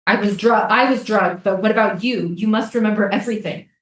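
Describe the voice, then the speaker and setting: A woman's voice: medium pitch, fairly quick, close to an everyday voice. A woman playing an earnest, insistent American soldier and interrogator in a staged reading of a drama. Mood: desperate, demanding. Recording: roomy, echoey live stage reading.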